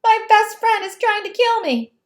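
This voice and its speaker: A woman's voice: extremely high pitch, expressive, moderate pace, voice pushed up. A woman voicing a grumpy, reluctant, anxious male toad with emphatic delivery in a children's storybook. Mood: panicked. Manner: emphatic, blunt protests. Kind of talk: children's storybook read-aloud.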